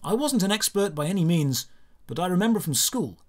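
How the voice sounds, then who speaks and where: A man narrating a scripted first-person fantasy audio drama, with measured, descriptive, dramatic phrasing. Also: high voice, sing-song.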